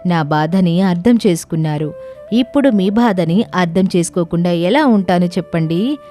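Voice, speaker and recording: Woman as a kindly low-voiced magic coconut. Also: moderate pace, dark tone, lightly acted. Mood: kindly, sympathetic. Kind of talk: acted dialogue in animated fantasy story. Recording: music under the voice.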